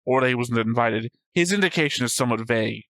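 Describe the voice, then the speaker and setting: A man in casual discussion as a reaction show co-host. He has a middling pitch and argues his points with wry jokes. The sound is dry, with very little room in it. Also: fast talker, a bit bright, no echo. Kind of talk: casual discussion of an animated series.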